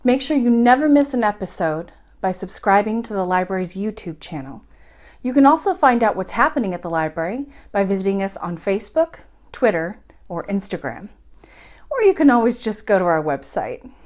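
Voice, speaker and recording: A woman's voice: medium pitch, very animated, fairly quick, darkish tone. A woman reading a children's story aloud expressively, as the host of a bedtime storytime.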